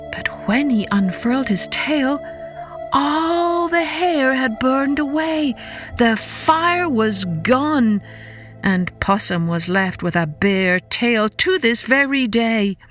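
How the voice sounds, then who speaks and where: A woman telling a scripted children's story as a podcast storyteller, dramatic and deliberate, repeating words for effect. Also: sits high, expressive, a bit bright, faint music behind.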